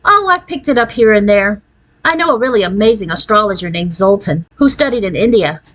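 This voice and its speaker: A woman's voice: medium pitch, animated, brisk, fairly bright tone, natural voice. A woman voicing a trendy, self-assured young girl from California in a children's storybook, breezy and casually boastful. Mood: casual, showing off.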